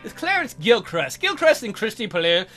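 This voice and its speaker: A man's voice: sits high, very animated, moderate pace, sharp tone, soft background music. A man hosting a let's play, reading a text adventure aloud with goofy, crude asides.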